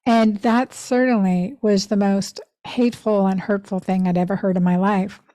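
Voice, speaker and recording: A woman's voice: mid-range voice, fairly even intonation, normal speed, dark tone, no echo. A woman in her fifties from Pennsylvania, hosting a podcast and telling a personal story in an earnest, heartfelt, reflective way. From Pennsylvania, USA. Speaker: podcast host.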